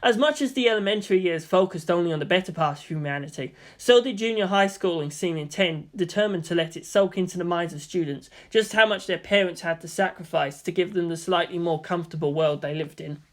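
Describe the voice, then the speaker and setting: A man's voice: sits high, a little fast, hardly any pauses. A man narrating fiction as an audiobook reader, in scripted storytelling style.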